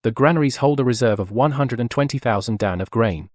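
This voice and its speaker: A man's voice: mid-range voice, brisk, no echo, lightly acted. A man playing an earnest, deferential military aide in a dubbed comic drama, reporting formally to his superior.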